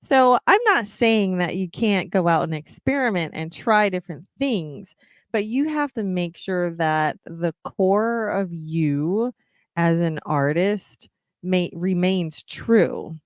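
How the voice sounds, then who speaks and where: A woman's voice: on the low side, expressive, takes it slowly, no echo, studio quality. A woman hosting her own podcast, talking earnestly and encouragingly to young musicians, with frequent ums. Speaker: podcast host, singer. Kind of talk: reflective solo podcast talk.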